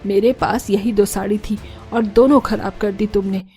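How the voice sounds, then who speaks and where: A woman's voice: mid-range voice, steady tone, normal speed, soft background music, natural voice. A woman voicing a scolding older mother-in-law. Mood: angry. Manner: shouting, scolding. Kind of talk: cartoon voice acting.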